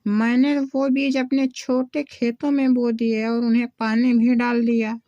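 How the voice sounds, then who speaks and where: A woman as an earnest village mother, slow-paced. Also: a little high, little pitch movement, warm tone, dry recording, highs cut off, natural voice. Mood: upset. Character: poor village mother and young daughter, earnest, simple. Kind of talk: Hindi animated moral story acting.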